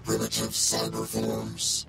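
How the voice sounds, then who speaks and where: A man's voice: fairly low pitch, completely flat delivery, takes it slowly, creaky, heavily acted. An actor playing an emotionless, obedient robot henchman in a video game, terse and mechanical. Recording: echoing, with very loud music behind.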